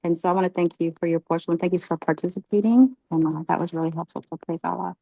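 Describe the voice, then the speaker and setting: A woman leads a religious class, asking catechism questions and praising the answers. Her voice sits low and sounds dry and close, and she pauses often with ums as she encourages her students. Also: fairly even intonation, gravelly, warm tone, dry recording, poor audio. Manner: encouraging, halting with many ums.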